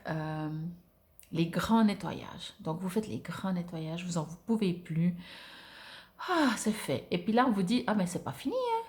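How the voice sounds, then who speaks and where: A woman's voice: mid-range voice, a little mellow. Woman giving a conversational, hesitant card reading. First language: French. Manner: hesitant, searching for words, conversational. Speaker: oracle card reader. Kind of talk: informal spiritual card reading to viewers.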